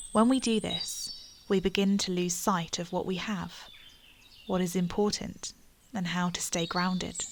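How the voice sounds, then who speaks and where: Soft-spoken woman guiding a meditation. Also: fairly low pitch, slight background noise. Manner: slow, soft and soothing, with breathy near-whispered phrases. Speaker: meditation guide. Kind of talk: guided meditation.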